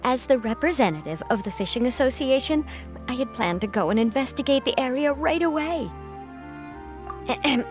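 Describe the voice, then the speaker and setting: High-voiced, earnest, excitable game townswoman. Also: moderate pace, faint music behind. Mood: relieved, earnest. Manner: earnest, dramatic, storytelling. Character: earnest, superstitious, excitable woman heading a fishing association. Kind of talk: anime-style video game voice acting.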